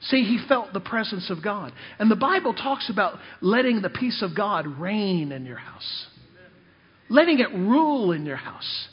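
A man's voice: high voice, big pitch swings, unhurried, slightly rough. A male preacher and missionary giving a sermon, telling humorous personal stories and calling out to the congregation. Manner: storytelling, calls out to the congregation. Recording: dull lo-fi recording in a reverberant hall.

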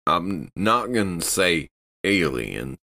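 A man acting male starship crewman, very low bright voice, slow, halting, polite, suspicious, tabletop role-play. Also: very animated, gravelly, full character voice. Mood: halting, deferential. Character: wary, deferential male spaceship crewman.

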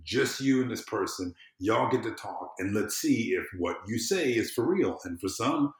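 Low-voiced man in a roomy space. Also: expressive, moderate pace. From American South. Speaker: podcast guest. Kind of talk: casual interview chat. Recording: roomy, echoing sound.

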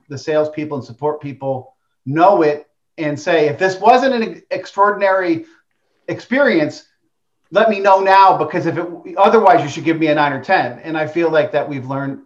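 A man hosting a panel discussion, chatting easily and drawing in the panelists. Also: fairly high pitch. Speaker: host. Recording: echoey, muffled audio.

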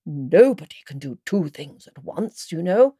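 A scatterbrained old queen from a storybook, acted by a woman reader, fretful but very sure of herself. The delivery swoops and dawdles, in a mellow, slightly husky tone. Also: deep voice, big pitch swings, a little slow, dry recording, clean studio sound, full character voice. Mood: decisive. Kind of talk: storybook character voice.

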